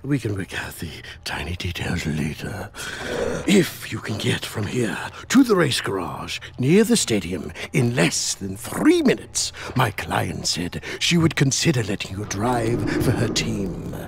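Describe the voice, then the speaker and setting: An actor playing an obese, scheming male crime boss with a gravelly, sly voice that drawls and tags lines with a coaxing "eh?" in a video game cutscene, loud music behind. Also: lowish voice, huge pitch swings, a little slow, exaggerated voice. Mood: oily.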